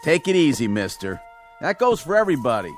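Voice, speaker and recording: Authoritative, guarded state trooper. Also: male voice, fairly high pitch, big pitch swings, brisk, soft background music. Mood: guarded, firm. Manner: authoritative, matter-of-fact. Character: steady, guarded male state trooper in charge. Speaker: actor in a radio play. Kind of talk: radio drama acting.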